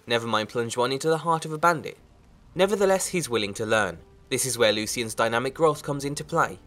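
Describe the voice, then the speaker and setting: Fast-paced male review narrator. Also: mid-range voice, a bit bright. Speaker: mod review host. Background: music.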